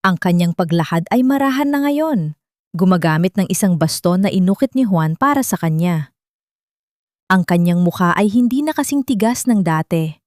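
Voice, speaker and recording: A woman reading a scripted dramatic story in a measured, sentimental, literary manner. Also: fairly low pitch, animated, a little mellow, no room sound, studio quality. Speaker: narrator of audio love stories.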